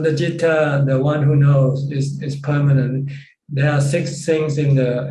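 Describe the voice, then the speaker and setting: A man with a Thai accent teaching as a Buddhist monk in an informal question-and-answer talk, halting and plain-spoken. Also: mid-range voice, warm tone. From Thailand. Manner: halting, with pauses and repeated phrases. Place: video call from a roomy space. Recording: roomy distant mic with background noise.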